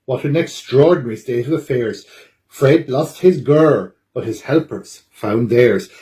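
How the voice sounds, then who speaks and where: A man reading a children's picture book aloud at a library storytime, with dramatic, expressive delivery. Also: mid-range voice, a little slow, a little hiss or hum.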